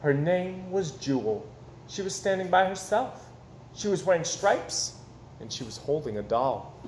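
A man in his thirties reads a children's picture book aloud expressively, with dramatic pauses and emphasis. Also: fairly high pitch, sing-song. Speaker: storyteller reading aloud to children. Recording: roomy echo, some background noise.

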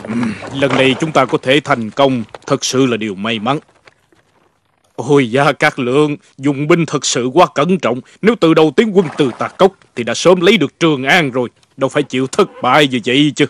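An actor dubbing a shrewd, self-satisfied middle-aged commander in an animated historical drama, deliberate and knowing. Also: male voice, medium pitch, natural voice. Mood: pleased, musing.

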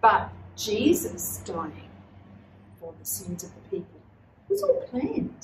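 A middle-aged woman co-leading a children's church lesson, answering in a slow, affirming way. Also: mid-range voice, wildly swooping pitch, a little hiss or hum. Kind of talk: casual teaching chat for kids. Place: small indoor room. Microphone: far camera. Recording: roomy sound from a distant camera.

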